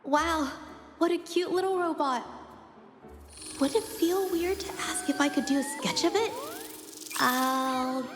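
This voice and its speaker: A woman's voice: high voice, unhurried, pauses often, faint music behind. A woman playing a shy, apologetic young painter in a video game, with a hesitant, stammering, self-effacing manner. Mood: quietly delighted. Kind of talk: video game character dialogue.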